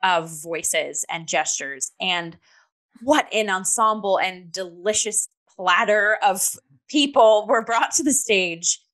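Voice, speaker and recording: A woman's voice: mid-range voice, takes it slowly, fairly bright tone. A woman co-hosting a review podcast, talking casually in an emphatic, reflective way. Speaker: theatre review podcast co-host.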